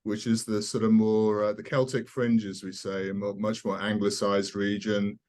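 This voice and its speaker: Low-voiced older British man recollecting. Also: little pitch movement, normal speed, a little mellow. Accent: softened British. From South Wales, UK. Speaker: guest scientist, professor. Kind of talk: conversational interview, personal recollection.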